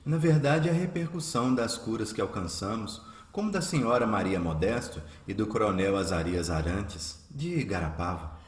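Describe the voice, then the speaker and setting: Actor as earnest devout healer, low male voice, animated intonation, solemn formal diction, radio drama. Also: moderate pace, hardly any pauses, close to an everyday voice. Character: earnest, devout adult son, a charitable spiritist healer. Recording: roomy, echoing sound.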